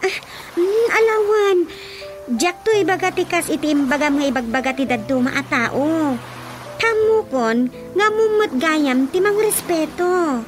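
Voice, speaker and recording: Very high-pitched excitable young girl. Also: a little slow, faint music behind. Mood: contrite. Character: young daughter, excitable, tender-hearted pet lover. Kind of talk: radio comedy drama acting.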